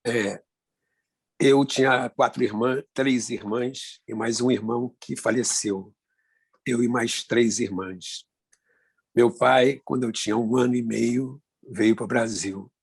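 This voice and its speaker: A man, telling his life story as a guest in an informal, halting way. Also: mid-range voice, fairly even intonation, husky, no echo. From born in Portugal, moved to Brazil as a child. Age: 70s. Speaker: guest speaker.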